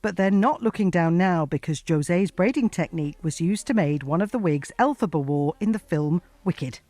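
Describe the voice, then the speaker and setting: A woman reporter narrating a broadcast piece in a measured voice. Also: fairly low pitch, lively intonation, mellow, dry recording.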